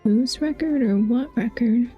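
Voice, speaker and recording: A woman's voice: fairly high pitch, a little slow, breathy edge, muffled recording. A woman chatting casually while livestreaming a game, sometimes reading dialogue aloud. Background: faint game music.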